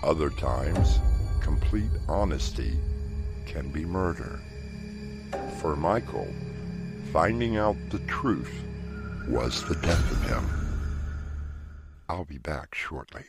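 A very deep, cavernous voice of a grim horror host, as a man plays him, drawn out and unhurried. He lingers over each word with sly menace, and music plays behind him. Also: expressive, exaggerated voice. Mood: sinister. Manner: slow, sinister, measured delivery. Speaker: host of a horror audio drama. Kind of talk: horror radio play narration.

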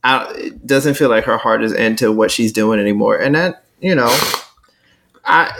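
A man co-hosting a casual chat, talking emphatically and rising into sharp exclamations. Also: medium pitch, normal speed. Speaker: podcast co-host.

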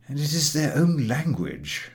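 Man acting a pompous, baffled scholar, high-voiced. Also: sing-song, measured pace. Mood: puzzled, aggrieved. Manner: pompous, aggrieved. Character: baffled, self-important male scholar, proud of his languages.

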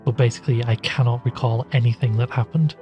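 A man playing a weary, confessional podcast host and shaken survivor in an audio drama, with measured, dryly understated delivery. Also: mid-range voice, steady tone, lo-fi sound, over music, natural voice. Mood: sombre, confessional.

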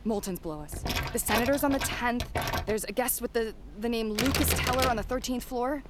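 Actress as plucky investigator amid heavy noise. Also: female voice, fairly high pitch, brisk, close to an everyday voice. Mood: matter-of-fact. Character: plucky, curious woman investigator in a thriller. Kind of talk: audio drama acting. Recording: loud ambience and noise behind.

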